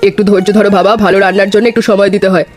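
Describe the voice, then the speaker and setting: A woman's voice: mid-range voice, little pitch movement, racing, darkish tone, lightly acted. A woman voicing a kindly older village mother in a cartoon, speaking affectionately and giving practical instructions. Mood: instructive. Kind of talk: scripted cartoon dialogue.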